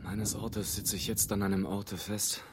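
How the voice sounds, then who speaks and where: Actor as a low-voiced, brooding prisoner. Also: male voice, level tone, measured pace, lightly acted. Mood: brooding, sardonic. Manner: literary, intense, often dropping to a breathy whisper. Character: brooding, sardonic, restless imprisoned male adventurer telling his plight. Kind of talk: dramatic monologue in a German radio play. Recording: clear background noise and ambience.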